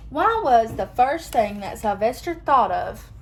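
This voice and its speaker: A young woman reading a picture book aloud to children, expressive and pausing to ask them questions. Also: a little high, slight background noise. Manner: expressive, asks listeners questions. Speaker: children's story reader.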